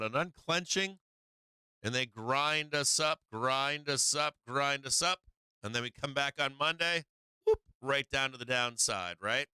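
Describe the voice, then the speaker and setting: A man with a very bright, smooth voice, recorded close and dry with no room sound. He walks viewers through trading charts in a loose, rambling way, full of "right?" and fillers. His voice is a little hoarse. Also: medium pitch, no room sound. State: losing his voice. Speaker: stock market live stream host. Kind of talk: casual live chart commentary.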